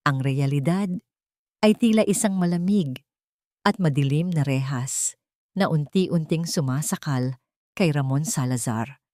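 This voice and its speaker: A woman's voice: low-pitched, a little mellow, dry recording, clean studio sound. A woman narrating a scripted dramatic audio story in a solemn, literary storytelling manner.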